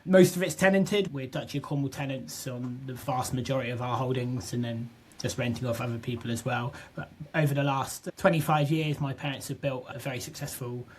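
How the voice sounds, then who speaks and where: A man's voice: medium pitch, fairly even intonation, brisk, hardly any pauses, darkish tone, slight background noise. A young man from southwest England, a podcast guest talking casually and restarting his sentences often. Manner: chatty, restarts often, repeats words. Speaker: podcast guest, business co-founder. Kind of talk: casual interview chat.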